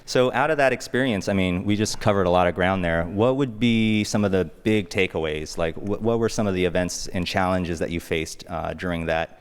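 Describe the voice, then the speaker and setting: Deep-voiced host in a reverberant room. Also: male voice, brisk, hardly any pauses, clear, resonant tone. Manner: short encouraging acknowledgments. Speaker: podcast host. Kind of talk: casual interview.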